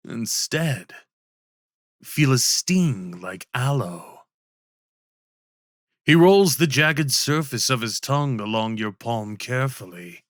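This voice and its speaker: Guy narrating an asmr fantasy story, really slow and measured, lowish-average pitch, no room echo. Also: a bit bright, clean studio sound. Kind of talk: scripted second-person story narration.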